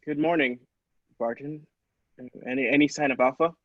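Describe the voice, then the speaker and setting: A man's voice: mid-range voice, fairly even intonation, normal speed, no echo, muffled recording, lightly acted. A man playing an earnest, shaken doctor in a radio play, agreeable and hesitant, with dramatic stammers. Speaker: podcast actor.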